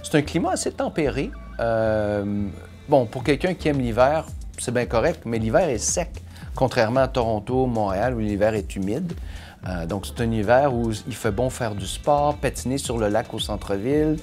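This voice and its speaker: A man's voice: mid-range voice, normal speed. Laid-back guy, director, chatty with lots of uh, music in back. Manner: relaxed, chatty with fillers. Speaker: executive director. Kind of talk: interview testimony.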